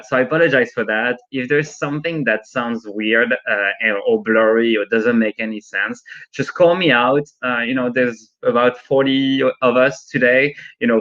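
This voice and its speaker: A man who is not a native English speaker leads a live training session from his home over a video call. He talks informally and often tacks on a quick "right?" as he goes. His voice sits at a middling pitch, and the call audio sounds somewhat muffled and lo-fi. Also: fairly bright tone. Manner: chatty, frequently adds "right?". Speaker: workshop presenter. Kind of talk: live online training talk with demos. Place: working from home.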